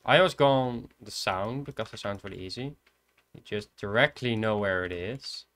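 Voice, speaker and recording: A young man of eighteen with a Dutch accent, streaming from his computer and narrating his play in a soft, hesitant murmur. His voice sits a little low, close to a desk mic. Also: moderate pace. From Netherlands. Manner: soft-spoken, hesitant, thinking aloud. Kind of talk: casual gameplay commentary. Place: indoors at a computer.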